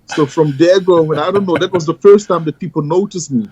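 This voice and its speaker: A man raised in the Netherlands, talking as a bodybuilding guest in a halting way, full of "you know". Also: on the high side, warm tone, slightly rough, dry recording, low-quality recording. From Ghana, raised in the Netherlands. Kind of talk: casual interview chat.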